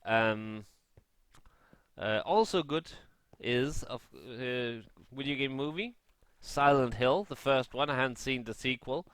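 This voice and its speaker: A man giving casual, unscripted gaming commentary in a halting way, often rephrasing and correcting himself. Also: mid-range voice, a bit bright, clear, resonant tone, no room sound. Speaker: gaming vlogger.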